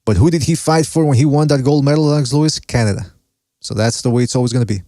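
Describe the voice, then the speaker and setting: A man's voice: medium pitch, quick pace, warm tone, no echo. A man with a mixed foreign accent hosts a boxing show alone, chatting loosely and reading viewers' comments aloud. His voice sits in a middle register. The sound is dry and close, with no room around it. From Mexico. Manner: casual, rambling, cursing, laughs often. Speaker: podcast host. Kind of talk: solo live-stream boxing chat, reading chat.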